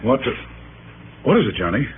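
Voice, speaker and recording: Earnest sidekick played by a man, animated, vintage radio. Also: medium pitch, moderate pace, phone-quality sound, close to an everyday voice. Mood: baffled. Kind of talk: 1950s-60s radio drama acting. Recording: band-limited, faintly noisy old radio.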